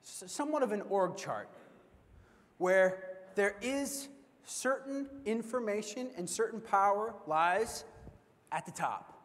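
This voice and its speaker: A man in his thirties giving an energetic keynote talk on a large convention stage, speaking into a headset mic and acting out quoted reactions. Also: high voice, slow pace. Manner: animated, acts out quoted reactions, repeats words when excited. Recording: long hall reverb.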